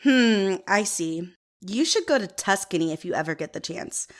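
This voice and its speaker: A woman's voice: medium pitch, lively intonation, normal speed, natural voice. Snobby, airheaded young woman, acted out by a woman. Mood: smug, bragging. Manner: condescending, affected, valley-girl filler words. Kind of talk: audio drama acting.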